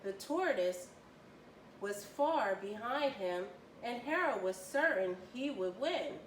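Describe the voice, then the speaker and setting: Woman reading a storybook, slow and very animated. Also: medium pitch. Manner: expressive, deliberate storytelling. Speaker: volunteer children's story reader. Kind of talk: read-aloud children's storybook narration. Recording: roomy, distant mic, faint noise.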